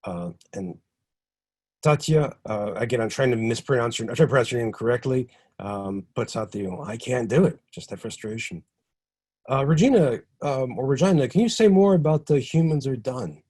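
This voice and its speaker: A man hosting an online workshop session over a video call, reading out comments in a halting way with many ums and uhs. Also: medium pitch, lively intonation, darkish tone, slightly rough. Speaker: session host.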